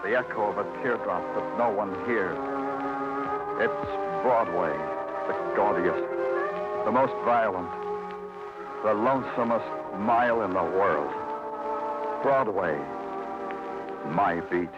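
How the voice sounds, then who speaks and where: An actor playing a world-weary, hard-boiled New York police detective in a 1950s radio crime drama, speaking in a clipped, terse, commanding way. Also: male voice, on the low side, takes it slowly, pauses often. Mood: brooding. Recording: muffled vintage radio recording, loud music.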